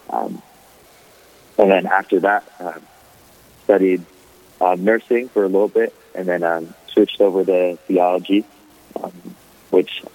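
A young man from the Philippines calls in over a narrow, tinny phone line. His voice is very deep and a little husky, and he talks in a relaxed way, full of ums and small laughs. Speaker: guest, diocesan youth associate. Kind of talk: phone-in interview.

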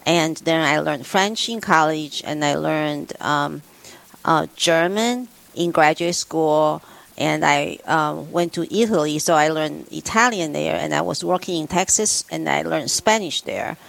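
Low, gravelly-voiced woman guest. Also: poor audio. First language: Mandarin Chinese. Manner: plain, earnest, many pauses. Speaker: guest in pastoral ministry. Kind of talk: radio interview answers.

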